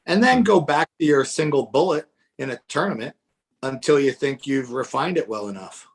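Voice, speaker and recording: A man's voice: mid-range voice, expressive, moderate pace. A man co-commentating on an arm-wrestling match, giving his opinions in a casual, conversational way. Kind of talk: live sports commentary.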